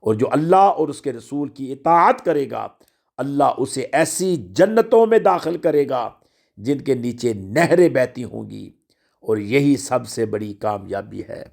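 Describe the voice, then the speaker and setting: Gravelly male preacher delivering an emphatic sermon. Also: mid-range voice, animated, unhurried, darkish tone. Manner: emphatic, exhortatory oratory; repeats phrases for rhetorical emphasis. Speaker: Islamic preacher. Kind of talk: religious sermon with recited citations.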